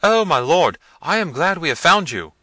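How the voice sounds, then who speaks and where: A man acts out a terrified castle servant in a gothic storybook. The voice is pitched high and sharp, flustered and pleading as he rambles deferentially. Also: very animated, moderate pace, no room sound, heavily acted. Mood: flustered, frightened. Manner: flustered, pleading, long-winded. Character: frightened, babbling, deferential male castle servant. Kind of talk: dramatised gothic novel reading.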